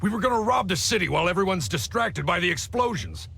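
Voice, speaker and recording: An actor playing a cocky, crude bandit boss who talks in slang and swaggers, in a game cutscene. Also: male voice, sits high, big pitch swings, fast talker, gravelly, sharp tone, slight background noise, exaggerated voice. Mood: cornered. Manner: slangy, swaggering, stammers when caught.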